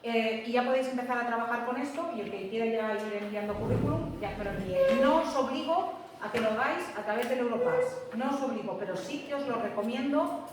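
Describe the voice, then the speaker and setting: Woman teacher, lecture style, slightly high voice, echoey hall, distant mic. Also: fairly quick, noisy background. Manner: explanatory, checks with students often. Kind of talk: classroom lecture. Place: classroom. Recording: echoey classroom from far off.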